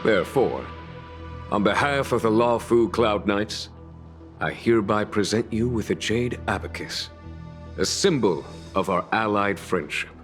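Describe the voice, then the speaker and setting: A low-voiced, dignified fantasy general. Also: male voice, lively intonation. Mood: solemn, ceremonial. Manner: formal, measured, ceremonial phrasing. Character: composed, courteous male military general of a fantasy fleet. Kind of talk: scripted game voice acting. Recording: background music.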